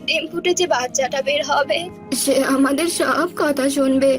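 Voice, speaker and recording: A solemn royal healer in a cartoon fairy tale voiced by a woman. The voice is high yet grave and scheming, laying out instructions over soft music. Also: steady tone, normal speed, close to an everyday voice.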